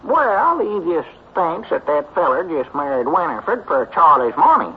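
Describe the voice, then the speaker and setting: High-pitched, gullible old country fellow. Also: male voice, sing-song, a little slow, husky, full character voice. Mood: gossiping. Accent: rural Southern US. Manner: rambling, homespun, naive. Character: gullible, excitable, folksy old country storekeeper. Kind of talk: old-time radio comedy acting. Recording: muffled, noisy vintage radio recording.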